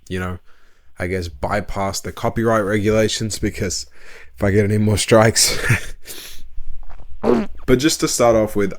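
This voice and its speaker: Low-voiced man, casual commentary. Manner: casual, chatty. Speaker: video commentator.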